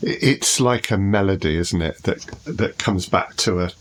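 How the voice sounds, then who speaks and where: A man hosting a casual discussion, putting points and questions in a halting, thoughtful way. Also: deep voice. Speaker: podcast host.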